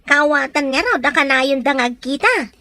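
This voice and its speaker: A woman's voice: sits high, lively intonation, normal speed, sharp tone, no echo, exaggerated voice. A woman playing a gossipy shopkeeper neighbor. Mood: teasing. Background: background music.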